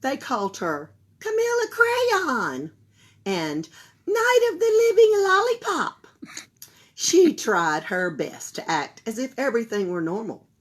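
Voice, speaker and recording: Slow, breathy storytelling woman. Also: on the high side, wildly swooping pitch. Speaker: library storyteller. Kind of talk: children's book read-aloud.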